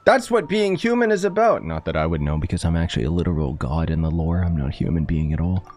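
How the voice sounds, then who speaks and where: A man's voice: deep voice, big pitch swings, fast talker, a little mellow. A young man commenting on a video game as he plays, joking and sarcastic, often breaking into exclamations. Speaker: gaming streamer. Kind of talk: sarcastic let's-play commentary. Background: game music.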